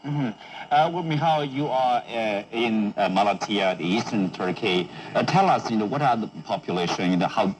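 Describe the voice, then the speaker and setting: A man's voice: lowish voice, expressive, normal speed. A man hosting a broadcast news interview, heard over a shortwave radio. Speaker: news talk show host. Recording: noisy humming shortwave radio reception.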